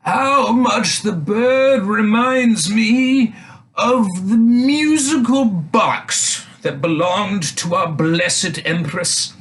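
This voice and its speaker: A man voicing a sentimental, wistful old knight in a fairy tale, with slow, drawn-out, halting speech. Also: high voice, a bit bright, voice pushed up, full character voice. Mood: wistful nostalgia.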